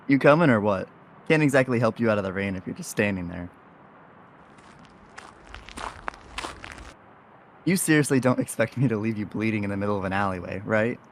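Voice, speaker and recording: Man as young rogue, audio drama, lowish pitch, wry, chatty, slangy, cheeky, ambience under the voice. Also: expressive, quick pace, a little hiss or hum. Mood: urgent, coaxing. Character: easygoing, cheeky young blond rogue, faceless stranger. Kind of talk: audio roleplay drama acting.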